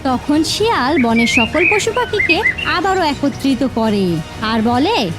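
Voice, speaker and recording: A woman narrating a scripted fairy tale as an expressive storyteller. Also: sits high, takes it slowly, over loud music.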